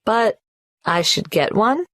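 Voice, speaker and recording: A hesitant, careful migrant applicant, answering an official's questions. She speaks slowly and clearly spells out numbers. The voice sounds dry and close to the mic. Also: mid-range voice, animated, fairly bright tone, no echo. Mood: earnest. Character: hesitant female migrant applying for tax number. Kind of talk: scripted exam dialogue.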